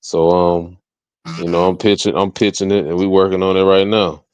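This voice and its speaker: A man's voice: sits low, flat delivery, normal speed, a little mellow, clear, resonant tone. An American man chatting casually as an interview guest, slangy and peppering his talk with "you know" and "man". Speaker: interview guest, music artist and entrepreneur. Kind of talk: casual chat. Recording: dull lo-fi audio, roomy echo.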